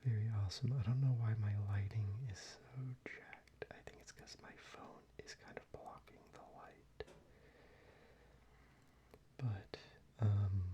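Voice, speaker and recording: Hushed, very dark-voiced man whispering slowly. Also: on the low side, highs cut off, a little hiss or hum. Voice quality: soft, breathy, largely whispered. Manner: gentle, halting, hushed. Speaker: ASMR video host. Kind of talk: soft-spoken ASMR, showing a card collection.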